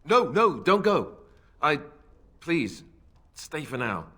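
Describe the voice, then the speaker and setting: Nervous young warrior, animated pitch. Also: male voice, slow, slightly rough, heavily acted. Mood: awkward. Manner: hesitant, babbling, wry. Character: awkward, earnest young male warrior, self-deprecating and nervous. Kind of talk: video game voice acting.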